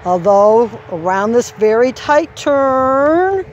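A woman walking an outdoor horse course with her phone and explaining each obstacle in an unhurried way, now and then correcting herself. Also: a little high, big pitch swings, darkish tone, muffled recording. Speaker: course designer giving a walk-through. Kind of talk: instructional course walk-through. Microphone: handheld phone camera. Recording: faint outdoor noise, sometimes far from the mic.

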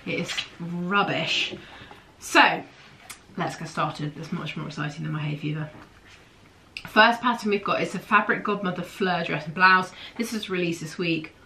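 A woman in her thirties whose voice sounds nasal and bunged up, slightly low-pitched but bright and forceful. She talks casually and eagerly to a camera in a small room at home, gesturing as she goes. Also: moderate pace, gravelly, slight background noise. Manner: enthusiastic, gushing, gestures a lot with her hands. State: nasal and bunged up from hay fever. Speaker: host of a sewing pattern show. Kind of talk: casual chatty review to camera.

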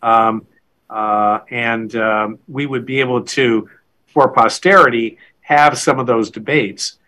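An older man interviewing a guest over a video call from a home study, brainstorming aloud with lots of halting restarts. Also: mid-range voice, fairly even intonation, bright, forceful tone. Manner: hesitant, many fillers and restarts while brainstorming. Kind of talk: academic conversation.

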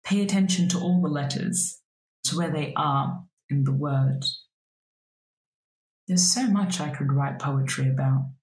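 Slow, reflective woman reading poetry. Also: sits low, darkish tone, roomy sound, low-quality recording. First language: Arabic. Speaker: poet. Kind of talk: spoken word poetry reading. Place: small room indoors.

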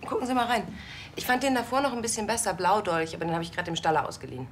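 An actress playing an enthusiastic police officer in a TV drama, talking in a chatty, colloquial way. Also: female voice, mid-range voice, racing, slight background noise, lightly acted.

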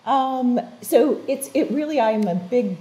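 A woman's voice: fairly high pitch, a little slow. An older woman, a wellness guest on a studio talk show, explaining health advice earnestly with restarts and "so" and "um", recorded on a lapel mic. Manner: earnest, explanatory, animated hand gestures. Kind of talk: informative interview talk. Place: TV studio set.

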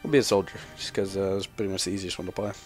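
A man's voice: low voice, flat delivery, rapid-fire, darkish tone, soft background music. A young man giving casual gameplay commentary in a sweary, irreverent, rambling manner. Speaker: gamer, let's player.

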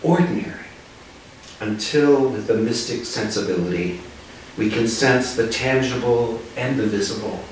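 Older male minister, low voice, reflective and deliberate, recorded at a distance in a very reverberant room. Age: 60s. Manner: measured, solemn, deliberate phrasing. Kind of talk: reflective sermon, read from notes. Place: living room with small seated group. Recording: echoey living room, distant mic, faint noise.

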